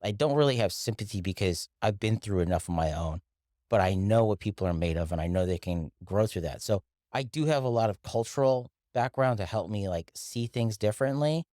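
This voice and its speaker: Deep-voiced Filipino-born man, dry sound. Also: expressive, a little fast, dark tone, no room sound. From Philippines. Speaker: interview guest, wellness coach. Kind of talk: reflective interview talk.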